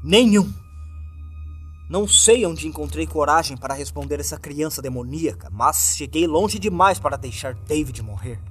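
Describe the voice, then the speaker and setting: A man's voice: fairly high pitch, animated, normal speed, hardly any pauses, fairly bright tone. A man with a Brazilian accent reading a scripted horror story as narrator, in a grave and dramatic manner. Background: background music.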